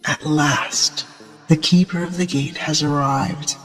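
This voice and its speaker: Solemn temple gatekeeper, highish voice, slow, formal, faint music behind, video game. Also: male voice, fairly even intonation, fairly bright tone. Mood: solemn welcome. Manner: formal, declamatory. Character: solemn, ceremonious shrine warrior gatekeeper. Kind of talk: video game character acting.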